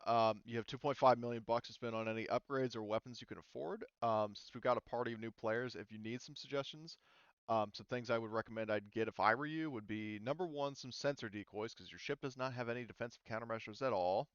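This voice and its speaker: Smooth-voiced man running a tabletop game. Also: mid-range voice, fast talker, no echo, highs cut off. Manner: casual, profane. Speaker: game master. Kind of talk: casual tabletop game session talk.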